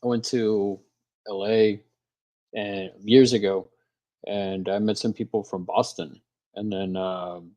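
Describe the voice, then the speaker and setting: A man's voice: on the low side, little pitch movement, takes it slowly, mellow, dry recording. Hesitant man from Texas. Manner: hesitant, lots of fillers and restarts. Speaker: guest. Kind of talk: casual film commentary chat.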